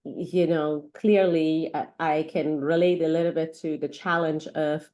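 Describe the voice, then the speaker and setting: Middle-aged woman physician from Iran, non-native English, earnest and reflective, voice on the low side. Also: steady tone, measured pace, mellow. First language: not English. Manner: earnest, many fillers and restarts. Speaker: pediatric doctor. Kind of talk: interview conversation.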